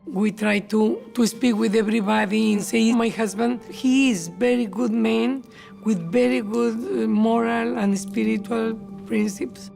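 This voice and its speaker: Colombian mother, gravelly voice, Spanish accent, plain halting English, music behind. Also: on the high side, steady tone. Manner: halting, simple English with grammar slips. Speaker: mother interviewed in a documentary. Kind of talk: documentary interview. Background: soft background music.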